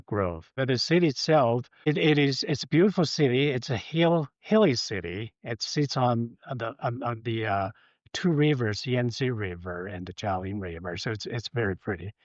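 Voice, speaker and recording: A man from China, an entrepreneur, answering interview questions from his home office in halting speech with fillers and repeated words. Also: medium pitch, dry recording, lo-fi sound. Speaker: guest, entrepreneur.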